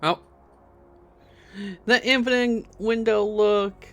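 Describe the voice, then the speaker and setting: A man's voice: high voice, level tone, slow pace, a bit bright. A man giving casual playthrough commentary as a gaming streamer, sometimes reading on-screen text aloud. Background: game music.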